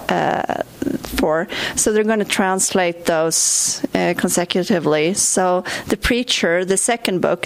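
Swedish-accented woman, visiting author at a library Q&A, chatty, self-deprecating, quick to laugh. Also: mid-range voice. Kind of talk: talk and Q&A with an audience. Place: library event. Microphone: recorded for a podcast.

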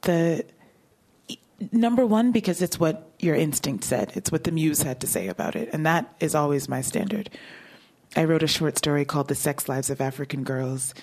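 A woman's voice: low voice, lively intonation, normal speed, darkish tone. A woman novelist talking casually about her writing and answering audience questions, laughing often. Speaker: novelist at a book talk. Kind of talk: conversational talk and audience Q&A.

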